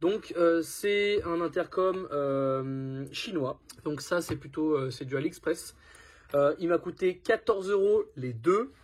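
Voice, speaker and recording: A man's voice: on the high side, lively intonation, slow, slightly rough. A man presenting a product in a casual hands-on tutorial, informal and thinking aloud as he goes.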